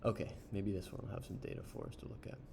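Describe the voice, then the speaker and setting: A man casually reviewing an online service while browsing its pages, unhurried, with frequent ums and uhs. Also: lowish voice, gravelly, darkish tone, slight background noise. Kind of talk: casual screen-share review.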